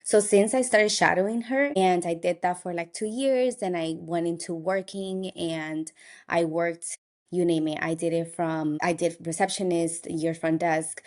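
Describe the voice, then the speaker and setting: Young woman chatting on a podcast, kinda low voice, animated storyteller. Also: a little slow. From Colombia. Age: young adult. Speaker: optometrist guest. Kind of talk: casual interview storytelling.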